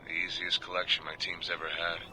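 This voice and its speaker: An actor playing a weary, conscience-stricken government enforcer, recording a grave, deliberate confessional audio log for a video game. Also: male voice, low voice, completely flat delivery, noisy background. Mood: regretful, somber. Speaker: officer of a government detention unit.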